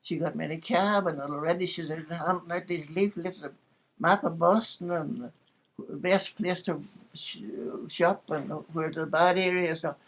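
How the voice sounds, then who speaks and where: An elderly Northern Irish woman looks back on her life in casual conversation. Her voice sits on the low side for a woman, and she tells anecdotes chattily, with a dry sense of fun. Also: normal speed, low-quality recording. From Northern Ireland. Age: 80s. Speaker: interviewee recalling her life. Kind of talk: casual reminiscence, storytelling.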